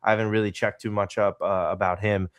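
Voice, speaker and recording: A man hosting a casual podcast interview, energetic and rambling as he asks his questions. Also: lowish voice, brisk, clear, resonant tone, no room sound, clean studio sound. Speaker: podcast host.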